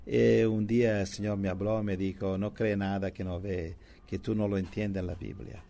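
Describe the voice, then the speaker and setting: A man who preaches mainly in English and Italian, teaching from the Bible in halting second-language with frequent restarts and fillers. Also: on the low side, flat delivery, vocal fry, warm tone. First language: not Spanish; preaches in English and Italian. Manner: halting non-native Spanish with many restarts and fillers.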